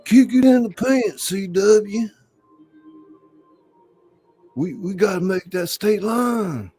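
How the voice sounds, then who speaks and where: A man's voice: high-pitched, takes it slowly, dark tone, breathy edge, faint music behind, pitched above the performer's own voice, heavily acted. A man voicing a frantic, urgent gangster on the run in a screenplay reading. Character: frantic male getaway gangster urging the driver on.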